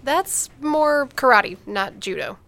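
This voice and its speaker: An actress playing a candid, teasing older sister in natural audio drama dialogue. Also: female voice, sits high, lively intonation, slow pace, gravelly, fairly bright tone, no room sound, full character voice. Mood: blunt, teasing. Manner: blunt, teasing.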